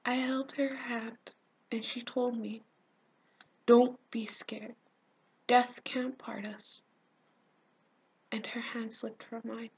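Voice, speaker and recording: Breathy, slow-speaking grieving teenage girl acted by a woman. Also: fairly high pitch, little pitch movement, pitched above the performer's own voice, full character voice, low-quality recording. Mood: anguished. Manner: slow, halting, emotive, swelling from hush to intensity. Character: grieving teenage Gazan girl recounting war, tender and haunted. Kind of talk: dramatic monologue recital.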